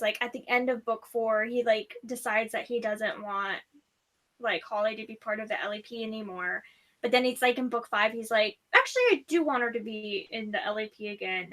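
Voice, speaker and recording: A woman's voice: on the high side, a bit bright. A woman in a casual book discussion, talking in a skeptical, colloquial and opinionated way.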